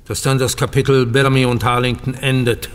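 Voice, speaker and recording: A man's voice: mid-range voice, flat delivery, slight background noise, lightly acted. Man as a bitter, dignified banker in a reverberant hall. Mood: resigned. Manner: formal, measured, biting sarcasm. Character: bitter, dignified older bank co-founder facing ruin. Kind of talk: German audio drama acting.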